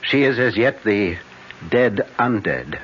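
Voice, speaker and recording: An actor playing a grave, insistent older professor who hunts vampires, speaking solemnly with halting emphasis in classic radio horror style. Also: male voice, on the low side, expressive, husky, full character voice. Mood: grim, insistent. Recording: muffled, noisy old radio broadcast.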